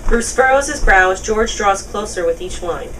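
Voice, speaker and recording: A woman's voice: medium pitch, animated, normal speed, a bit bright. A woman reading stage directions as scripted narration at a table read. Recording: distant mic, noisy room.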